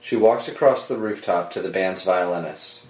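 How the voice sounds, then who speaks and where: Low-voiced man narrating a screenplay. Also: normal speed, darkish tone. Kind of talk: scripted narration of screenplay directions. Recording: roomy echo under dramatic score music.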